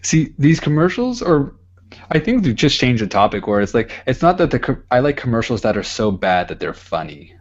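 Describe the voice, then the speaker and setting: A man's voice: medium pitch, sing-song, fairly quick, highs cut off. A young man co-hosting a casual podcast, rambling, laughing and swearing as he talks. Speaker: podcast co-host.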